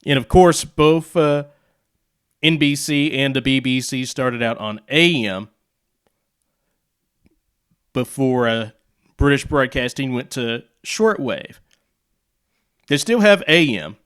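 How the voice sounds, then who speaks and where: Slow-paced American man, clear voice. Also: mid-range voice, a bit bright. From United States. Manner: rambling, conversational asides. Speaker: video host, radio hobbyist. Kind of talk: casual, unscripted explainer.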